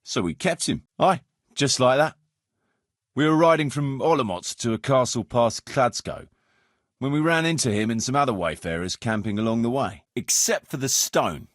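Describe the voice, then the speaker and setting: A man's voice: medium pitch, moderate pace, no echo, studio quality, close to an everyday voice. A man playing a roguish, world-weary medieval mercenary captain in a video game, firm but fond, speaking bluntly and colloquially. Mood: wry storytelling. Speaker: game character, band leader. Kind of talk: video game dialogue acting.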